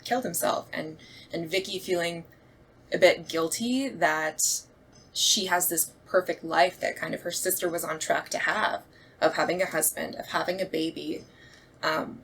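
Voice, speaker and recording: Low, creaky, reflective woman. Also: normal speed, a bit bright, poor audio. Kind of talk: casual discussion. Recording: faint noise and room ambience.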